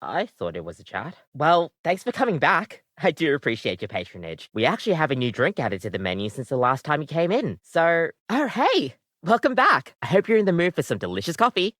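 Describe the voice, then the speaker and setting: Lively, low-pitched video game barista. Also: female voice, a little fast, few pauses, dry recording, clean studio sound, full character voice. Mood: earnest, chatty. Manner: bubbly customer-service patter, slightly awkward asides. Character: friendly, chatty female barista, personified coffee maker. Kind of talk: animated video game voice acting.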